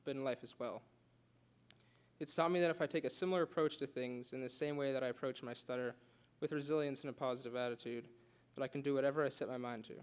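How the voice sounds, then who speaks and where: Young male student, quick delivery, earnest speech with a light stutter. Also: mid-range voice. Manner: earnest, with occasional stutters and restarts. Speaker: student giving a class speech. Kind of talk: prepared speech read aloud.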